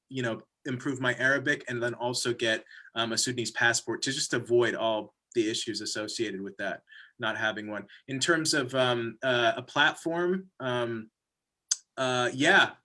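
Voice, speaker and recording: A man's voice: mid-range voice, sharp tone. A man, a film director thinking aloud in a panel discussion.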